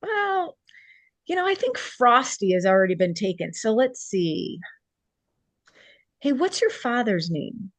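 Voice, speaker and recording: Woman playing a kind, animated young woman. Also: on the high side, a little slow, long pauses, no echo. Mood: playful, encouraging. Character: kind, encouraging young woman charming a child. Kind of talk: script table read.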